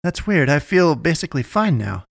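A woman's voice: low-pitched, big pitch swings, moderate pace, gravelly, no room sound, clean studio sound, pitched above the performer's own voice, exaggerated voice. A man reading a story aloud, voicing a panicky, foul-mouthed young woman who stammers and swears. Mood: puzzled.

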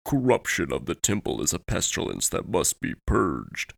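Man doing an ominous, gravelly, proclaiming zealot. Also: low-pitched, very animated, moderate pace, no room sound, clean studio sound, voice pushed down, exaggerated voice.

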